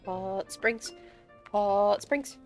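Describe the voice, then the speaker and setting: A woman's voice: medium pitch, drawn-out words. A woman streaming a game, giving casual, playful reactions and wry, jokey asides. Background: game music.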